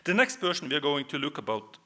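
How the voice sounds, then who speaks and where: A man with a Norwegian accent giving a history presentation, speaking in measured phrases with hesitant fillers. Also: on the high side, sing-song, pushed and bright. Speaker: lecturer.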